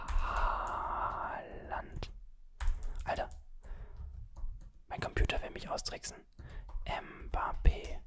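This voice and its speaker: Slow, airy whisperer guessing words. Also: fairly bright tone. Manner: whispers throughout, guessing words aloud and musing; playful, complains at the game. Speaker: video host playing a word-guessing game. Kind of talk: whispered gaming commentary.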